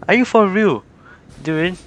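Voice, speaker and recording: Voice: medium pitch, a bit bright, slight background noise. A streamer giving casual, giggly gameplay commentary and often exclaiming to themselves. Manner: exclaiming, giggly, self-talking. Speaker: streamer playing a game. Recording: faint game music behind. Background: game music and dialogue.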